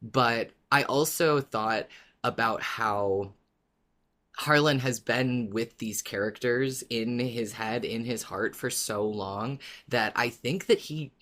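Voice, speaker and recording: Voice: medium pitch, slow, a bit bright. A podcast co-host in casual conversation about a story. The delivery is heartfelt and effusive, like a fan sharing what moved them.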